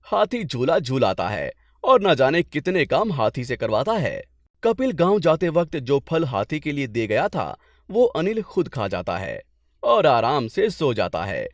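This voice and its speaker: Male folk-tale narrator: mid-pitched, very lively intonation, dry studio-like sound. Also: measured pace, no room sound.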